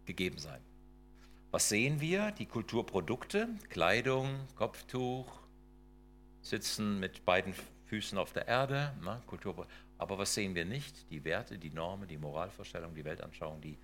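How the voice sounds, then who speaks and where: Emphatic lecturing man with a low voice. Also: fairly bright tone, slight background noise. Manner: emphatic, rhetorical questions, tag questions like "ne?" and "ja?". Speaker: lecturer on intercultural work. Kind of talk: lecture with slides.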